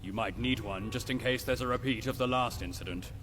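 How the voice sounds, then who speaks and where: Man playing a measured, mid-pitched station official. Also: music in the background, lightly acted. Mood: businesslike. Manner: measured, formal. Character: courteous, concerned male station official giving missions.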